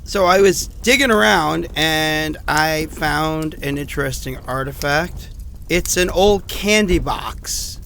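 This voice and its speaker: A man's voice: fairly high pitch, slow, gravelly, bright, forceful tone, slight background noise, full character voice. A man acting an anxious, self-doubting survivor who records rambling, hesitant diary messages in a horror audio drama. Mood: curious, uneasy.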